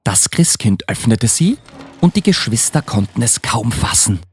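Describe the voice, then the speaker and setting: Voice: medium pitch, very animated, moderate pace, slightly rough. A narrator reading scripted storybook narration for a Christmas radio play.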